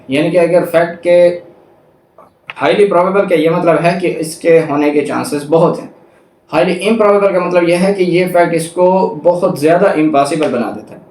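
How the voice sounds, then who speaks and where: Higher-voiced Pakistani man lecturing, echoey and distant. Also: a little mellow. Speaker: law lecturer. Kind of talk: explanatory lecture, reading articles aloud and explaining them. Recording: echoey room, distant microphone.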